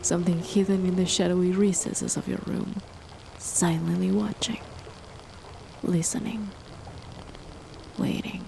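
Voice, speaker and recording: Woman as a cold, condescending chemist spouse for an ASMR horror roleplay; slow, low, fry-edged whispers over steady rain. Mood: menacing. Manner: soft, measured, condescending, often whispered. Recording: noisy with rain behind.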